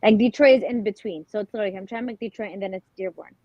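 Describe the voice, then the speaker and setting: A woman's voice: medium pitch, fast, dark tone, dry recording, highs cut off. A woman from Michigan co-hosting a podcast, chatty and eager to jump in. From Michigan, United States.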